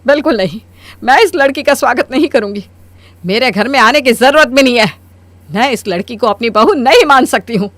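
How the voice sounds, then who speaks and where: A woman's voice: fairly high pitch, animated, a little fast, a bit bright, no room sound. A woman playing a stubborn, scheming middle-aged mother-in-law in a Hindi cartoon story, with a theatrical, scolding delivery. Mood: angry, stubborn.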